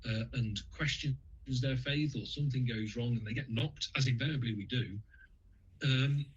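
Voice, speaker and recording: A man's voice: mid-range voice, moderate pace, husky, lo-fi sound. A man from the UK speaking as a guest on a radio interview, talking in a hesitant way with many fillers and restarts.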